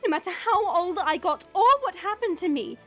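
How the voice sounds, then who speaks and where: A woman's voice: extremely high pitch, expressive, moderate pace, faint music behind. A woman playing a proud, determined dragon princess cursed into human form in a fantasy audio drama, earnest and regal. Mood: hurt, insistent. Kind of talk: fantasy audio roleplay acting.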